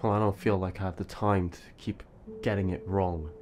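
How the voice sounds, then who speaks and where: A man's voice: low voice, steady tone, moderate pace, darkish tone, natural voice. A man playing a burdened, earnest young eldest son. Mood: troubled. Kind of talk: audio drama acting.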